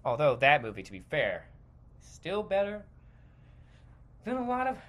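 A man hosting a podcast, delivering a casual, sweary, opinionated rant in a halting stream of consciousness, often repeating words for emphasis. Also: on the high side, huge pitch swings, fairly bright tone. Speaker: podcast host.

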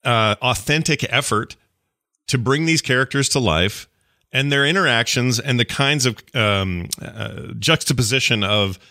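Bright-voiced earnest male interviewer. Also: mid-range voice, a little slow. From United States. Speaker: interviewer host. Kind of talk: casual interview chat.

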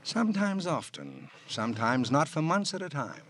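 An actor playing a brooding, loyal American confidant, speaking in halting, reflective, theatrical phrases in radio crime drama style. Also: male voice, fairly high pitch, sing-song, a bit bright. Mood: earnest, protective. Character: brooding, loyal male confidant, protective older friend. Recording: faintly noisy old radio recording.

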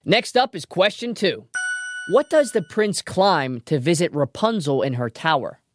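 A quiz show host speaks in a medium register with very animated intonation. The delivery is scripted and playful, dropping in wry asides. Music plays clearly behind the voice. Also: medium pitch, unhurried, no echo.

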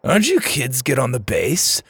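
Geeky, gullible little guy in a kids' audiobook, done by a male narrator: swooping intonation, unhurried, chummy. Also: mid-range voice, wildly swooping pitch, vocal fry, a bit bright, slightly rough, no room sound, full character voice. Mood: amused, suspicious. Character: skinny, geeky adult man, amused, gullible sci-fi fan.

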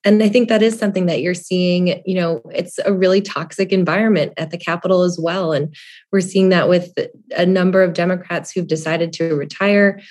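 A woman political reporter giving conversational news analysis, her voice a little raspy from a cold. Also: fairly low pitch, steady tone, brisk, darkish tone. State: has a cold.

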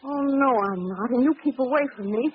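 A woman's voice: sits high, fairly quick, husky. An actress playing a spirited woman companion of a crime fighter, in 1940s radio mystery style. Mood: panicked, defiant. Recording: dull, noisy old radio recording.

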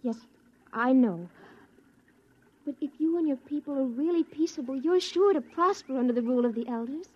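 An actress in an old radio drama playing a gentle, earnest young colonist woman, on an old recording. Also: high-pitched, moderate pace, dull audio, natural voice. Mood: reassuring.

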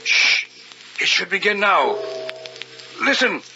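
An actor playing a solemn, intense leader of an occult ritual in an old radio horror drama, giving orders with authority. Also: male voice, sits high, big pitch swings, slow pace, vocal fry, full character voice. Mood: commanding, intense. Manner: solemn, commanding. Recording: muffled old radio recording under loud music.

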